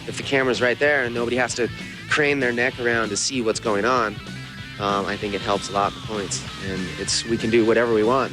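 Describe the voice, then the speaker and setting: Casual male athlete over guitar music. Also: mid-range voice. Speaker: athlete being interviewed. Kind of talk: casual interview talk.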